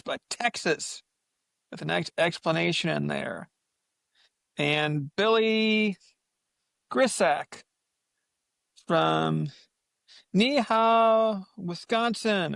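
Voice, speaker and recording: Slow, gravelly, higher-pitched man. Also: animated, fairly bright tone, no echo, studio quality. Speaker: podcast co-host. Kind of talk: reading listener shout-outs.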